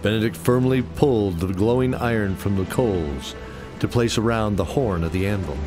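A man's voice: on the low side, moderate pace, over music. A man reading scripted dramatic narration as the narrator of a fantasy story.

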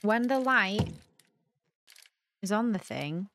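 A woman's voice: mid-range voice, lively intonation, slow pace, a bit bright, a little hiss or hum. A young woman gives casual let's play commentary, thinking aloud and questioning herself in puzzlement. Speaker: gaming video host. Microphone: close desk mic with headset on.

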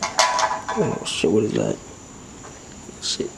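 A young man vlogging with a handheld camera as he explores a dark abandoned building at night, talking in loose slang and dropping to whispers. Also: lowish voice, very slow, creaky. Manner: slangy, excitable, often hushed to a whisper. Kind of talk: casual handheld vlog. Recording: noisy camera audio.

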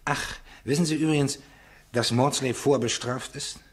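An actor playing a blunt, condescending, self-assured police inspector in a German radio crime drama. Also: male voice, medium pitch, steady tone, moderate pace, natural voice. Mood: dismissive, smug.

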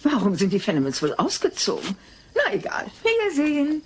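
A woman's voice: high-pitched, huge pitch swings, normal speed, a little hiss or hum. An actress playing a brash, gossipy neighbour woman in a dubbed sitcom, chatty and blunt. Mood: nosy, brash. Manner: chatty, blunt, sing-song greetings. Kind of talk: dubbed sitcom acting in an audio drama.

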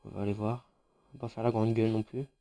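A congested gamer with a French accent and a mellow, dark-toned voice talks his way through a mobile shooter match. His delivery is chatty and loose, with frequent fillers and restarts, the voice thick from a cold. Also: fairly low pitch, flat delivery, fast. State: has a head cold, congested. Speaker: gaming YouTuber. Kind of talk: casual mobile game commentary.

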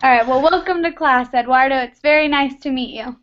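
A young woman with a Texan accent teaching a beginner English class over a webcam video call from her bedroom, speaking clearly and encouraging her students. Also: high-pitched, fairly quick. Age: young adult. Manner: encouraging, repeats student answers, laughs often. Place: bedroom at home. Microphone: laptop webcam on video call. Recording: dull lo-fi video call audio.

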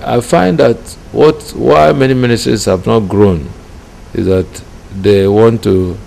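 A middle-aged Nigerian man giving an interview testimony as a ministry leader, speaking deliberately in short phrases. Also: lowish voice, dark tone, low-quality recording. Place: small indoor room with curtains. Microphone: handheld interview microphone. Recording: clear background noise.

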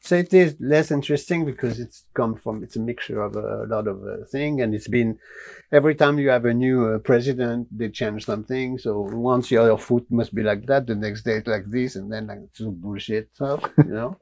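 Older french guy, gravelly voice, blunt and chatty, lapel mic in a small room. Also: medium pitch, moderate pace. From France. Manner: blunt, animated, gestures with hands while talking. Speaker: guest, martial arts teacher. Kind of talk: relaxed interview storytelling. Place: small studio room with wooden cabinets, indoors.

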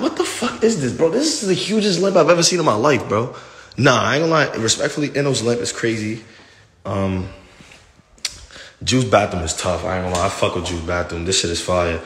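A man's voice: on the low side, brisk, long pauses, a little hiss or hum. A young man livestreaming as he walks through an empty house, talking casually in slang with lots of swearing and hype, into a phone held at arm's length. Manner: excitable, slangy, swears a lot, hypes things up. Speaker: livestreamer giving a house tour. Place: large empty house indoors, walking between rooms.

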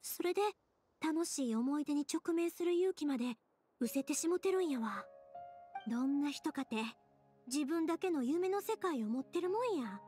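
A woman's voice: high voice, lively intonation, moderate pace, dark tone, faint music behind. An actress playing a kind-hearted young woman in an anime game, speaking earnestly and expressively with a Kansai accent. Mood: reassuring, thoughtful. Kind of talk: anime game dialogue acting.

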